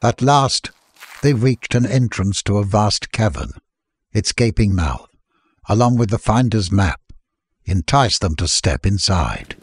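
A man's voice: on the low side, expressive, measured pace, husky, dry recording. A man reading scripted fantasy story narration as an audiobook narrator.

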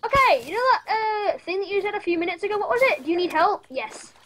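A woman chatting fast and bubbly while playing a video game with friends. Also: extremely high pitch, lively intonation, noisy background. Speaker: game player. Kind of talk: casual gaming chat.

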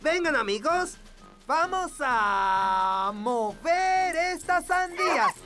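An actor voicing a bossy little critter in a children's cartoon dub, gravelly and commanding. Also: sits high, very animated, extremely slow, exaggerated voice. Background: loud music.

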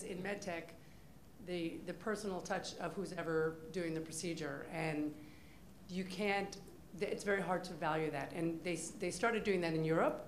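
A woman's voice: lowish voice, slight background noise. Confident woman in an echoey hall. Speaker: panelist. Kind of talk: panel discussion. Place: conference hall. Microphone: table microphone. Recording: roomy conference hall sound.

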